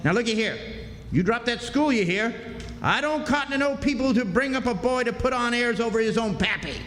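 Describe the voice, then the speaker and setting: A man's voice: very high voice, expressive, fairly quick, fairly bright tone. An actor playing a sneering, greedy old backwoods father who scolds in a folksy rustic drawl, in live radio drama style. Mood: angry scolding. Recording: echoey hall, live stage, hissy background.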